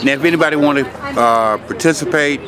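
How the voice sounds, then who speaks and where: A man's voice: on the high side, fast. A man interviewing people at an outdoor rally, asking short, prompting questions. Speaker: street interviewer. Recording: outdoor recording with loud crowd.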